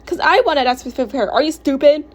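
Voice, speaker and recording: Woman as a hot-headed schoolboy, pitched high. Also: fast, lightly acted. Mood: angry.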